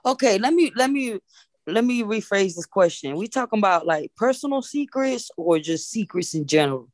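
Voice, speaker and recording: A woman's voice: mid-range voice, animated, moderate pace, no echo, clean studio sound. A woman in her thirties in a casual family chat over a video call, talking emphatically with slang. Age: 30s. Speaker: family member.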